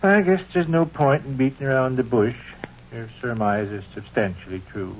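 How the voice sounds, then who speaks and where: A man's voice: medium pitch, moderate pace, a little hiss or hum, close to an everyday voice. An actor in an old-time radio comedy playing a sheepish, scheming father who speaks in stilted, flowery, hesitant phrases. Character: sheepish scheming father, pompously wordy, coaxing his son. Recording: dull, muffled old radio recording.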